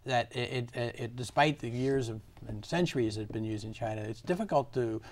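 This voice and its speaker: A man hosting an interview, asking long, halting questions with many restarts. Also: medium pitch. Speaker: talk show host. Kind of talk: interviewing a guest.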